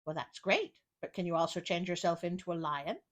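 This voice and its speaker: A woman voicing a cunning, flattering male storybook cat with theatrical awe. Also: fairly low pitch, a little fast, pitched below the performer's own voice, natural voice. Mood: sly challenge.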